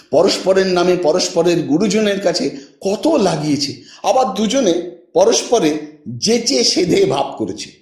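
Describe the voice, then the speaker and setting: A man reading a literary story aloud as an audiobook narrator, with measured, dramatic phrasing and deliberate pauses. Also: high-pitched, a little mellow. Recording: roomy echo, distant from microphone.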